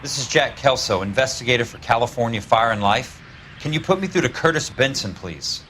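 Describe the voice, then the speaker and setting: An actor playing a plain-spoken, dogged American insurance investigator in a period noir video game. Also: male voice, medium pitch, expressive, brisk, fairly bright tone, lightly acted. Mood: dogged, businesslike. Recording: faint background noise.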